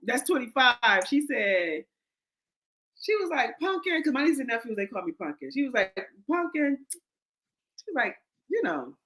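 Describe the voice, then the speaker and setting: A woman hosting a podcast, telling stories in a loud, profane, animated way. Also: a little high, a little slow, long pauses, poor audio. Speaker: podcast host. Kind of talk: casual chat.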